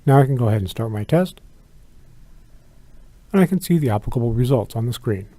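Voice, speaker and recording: A man narrating a scripted product tutorial in a measured, methodical, instructional way. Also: mid-range voice, animated, mellow, dry recording. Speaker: product demonstrator.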